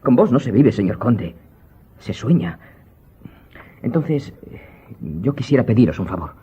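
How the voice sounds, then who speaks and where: A man playing a curious, courteous, impressionable young aristocrat in a radio drama, with a husky voice. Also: mid-range voice, sing-song, unhurried, warm tone, muffled recording, full character voice. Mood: curious, amazed. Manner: inquisitive, courteous, marveling. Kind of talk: Spanish-language radio drama acting.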